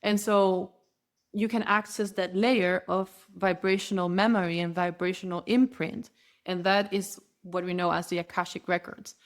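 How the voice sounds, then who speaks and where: A woman's voice: lowish voice, steady tone, normal speed. A woman whose first language is Spanish, a spiritual guide as a podcast guest, explaining her practice earnestly and flowingly, often restarting her phrases. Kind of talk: podcast interview.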